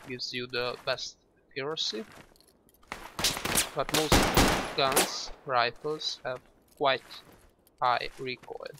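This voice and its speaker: A man narrating a shooter game tutorial in a relaxed, explanatory way, often restarting with "well" and "uh". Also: mid-range voice, slow, long pauses, very noisy. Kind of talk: casual instructional commentary over gameplay. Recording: loud game gunfire behind the voice. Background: game gunfire and shooting sounds.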